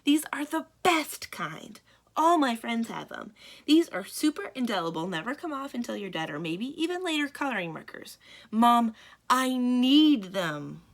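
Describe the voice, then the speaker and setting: An excitable, stubborn little girl from a storybook, acted out by a woman reader. The voice is high and swoops around freely, with whiny, dramatic pleading. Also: moderate pace. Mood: pleading, insistent.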